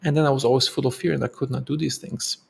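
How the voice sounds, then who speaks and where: A man with a German accent talks through his own story on a podcast. His voice is heavily gravelly and a little husky. He sounds earnest and reflective, and he circles back over his words. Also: medium pitch, steady tone, quick pace. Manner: earnest, reflective, with fillers and repeats. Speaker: podcast co-host. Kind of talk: casual storytelling.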